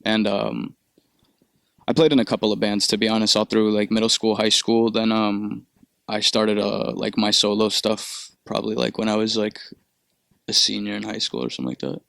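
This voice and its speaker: A man's voice: on the low side, fairly even intonation, normal speed, creaky, a bit bright, no echo. A young man from Peru, a musician answering interview questions in a loose, rambling way with lots of fillers and swearing. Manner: laid-back, rambling with many fillers and profanity. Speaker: musician guest. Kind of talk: casual interview.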